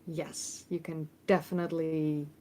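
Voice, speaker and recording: A woman's voice: low voice, little pitch movement, measured pace, warm tone, slight background noise. A woman in her thirties with a Dutch accent, hosting a casual livestream Q&A and reading chat questions aloud, chatty with many ums and restarts. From Netherlands. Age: 30s. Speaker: history YouTuber and host. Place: temporary room in a family home. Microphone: phone microphone.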